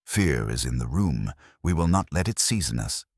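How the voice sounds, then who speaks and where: A man voicing a grave, imperious male master detective in an audiobook, formal and deliberate in his pronouncements. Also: rumbling low, sing-song, slightly rough, no room sound, clean studio sound, exaggerated voice. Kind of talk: audiobook character dialogue.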